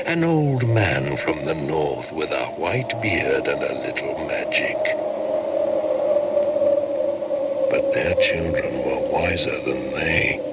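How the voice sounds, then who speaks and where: An actor playing an ancient pagan shaman spirit in a radio drama, solemn and incantatory, proud and taunting, sometimes dropping into a breathy whisper. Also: very deep voice, heavily acted. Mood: brooding, scornful. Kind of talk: dramatic radio play monologue. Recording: heavy background noise and ambience.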